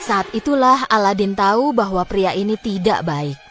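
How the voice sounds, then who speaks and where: A woman narrating a scripted fairy tale in a dramatic, expressive storytelling manner. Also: medium pitch, normal speed, soft background music.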